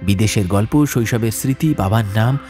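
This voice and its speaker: A man's voice: fairly low pitch, normal speed, dark tone. A man narrating a scripted ghost story as a dramatic audiobook. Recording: music bed behind narration.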